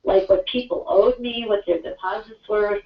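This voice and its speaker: Woman, 50s, caller. Gravelly, chatty, dull distant roomy line. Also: medium pitch, steady tone, normal speed. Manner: chatty, laughs easily, many fillers. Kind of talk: casual chat. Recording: dull roomy audio from afar.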